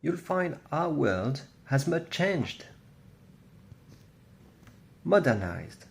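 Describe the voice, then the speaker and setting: A man voicing a composed female secret agent in a homemade toy film, measured and matter-of-fact. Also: a little high, big pitch swings, vocal fry, echoey room, exaggerated voice.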